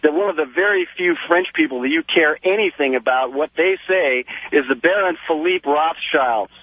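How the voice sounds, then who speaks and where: A man's voice: fairly high pitch, normal speed, no echo. An American man calling a radio show, chatting casually in a chummy, talkative way over a phone line. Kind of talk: casual chat.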